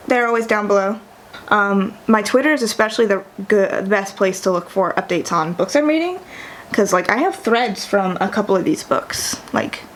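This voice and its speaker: A woman's voice: fairly high pitch, a little hiss or hum, poor audio. A young woman talking casually in a vlog, chatty and enthusiastic, with frequent restarts and fillers. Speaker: book vlogger.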